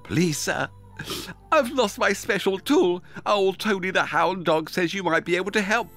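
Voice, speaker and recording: Man voicing a high-pitched, anxious little hedgehog. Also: lively intonation, moderate pace, a bit bright, faint music behind. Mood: polite pleading. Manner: pleading, stammering, breathless eagerness. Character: small young male hedgehog, polite, anxious, eager. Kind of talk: children's storybook character voice.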